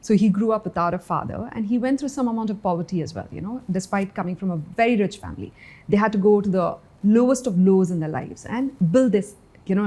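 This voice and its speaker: Woman from India, interview guest, mellow voice, earnest, reflective. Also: medium pitch. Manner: earnest, reflective, frequent "you know". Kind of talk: candid personal interview.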